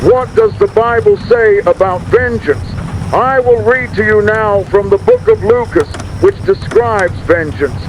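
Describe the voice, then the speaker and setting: An actor playing a grave, menacing reverend who solemnly declaims vengeful scripture in a recorded sermon for a radio horror drama. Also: male voice, high-pitched, unhurried. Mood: solemn, ominous. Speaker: church reverend. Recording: muffled recorded message, faint noise.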